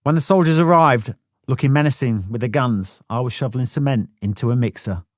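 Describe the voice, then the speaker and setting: A man's voice: medium pitch, fairly quick, dry recording, clean studio sound. A man narrating a first-person memoir audiobook in a blunt, plain-spoken way, swearing freely.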